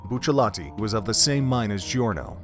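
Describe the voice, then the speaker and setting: A male narrator tells a dramatic story from a script. His voice sits on the low side, in the style of audio drama narration. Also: lively intonation, a little fast, soft background music.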